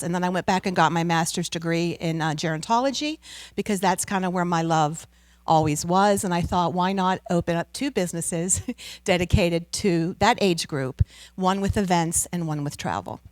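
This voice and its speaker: A middle-aged American woman giving a loud, upbeat seminar talk as a guest speaker into a handheld microphone. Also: on the low side, normal speed, no echo. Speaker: guest speaker, travel business owner. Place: community center room.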